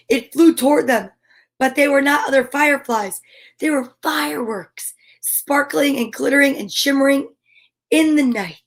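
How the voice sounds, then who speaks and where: A woman hosting a children's storytime, reading a picture book aloud expressively with chatty asides. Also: high-pitched. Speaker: storyteller and host.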